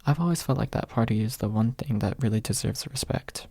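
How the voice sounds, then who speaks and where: A man voicing an exasperated, good-natured young man in an audiobook, with a husky voice and wry, casual retorts. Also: mid-range voice, fast, mellow, no echo. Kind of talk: ASMR audiobook character reading.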